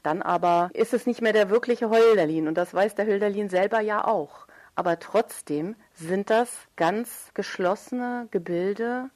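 A woman's voice: medium pitch, unhurried, few pauses, darkish tone. A woman speaking as an interviewed writer, thoughtful and articulate, searching for precise wording as she reflects on literature. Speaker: writer interviewed about own radio play.

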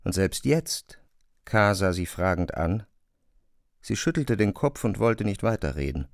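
A man's voice: on the low side, lively intonation, unhurried, vocal fry, no echo, clean studio sound. A man narrating literary fiction from a script for an audio drama.

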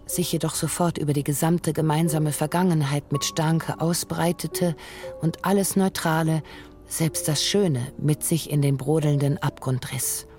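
A narrator in a radio drama, reading literary prose in a formal, measured, analytical way. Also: medium pitch, steady tone, a little mellow, natural voice. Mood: detached, analytical. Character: detached, analytical narrator of a relationship's decline. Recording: faint music behind.

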